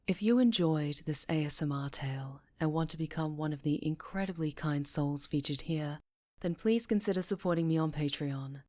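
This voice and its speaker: A woman's voice: sits low, moderate pace, a little mellow. A woman who makes ASMR audio, reading a scripted promotional outro to her listeners. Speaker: ASMR creator.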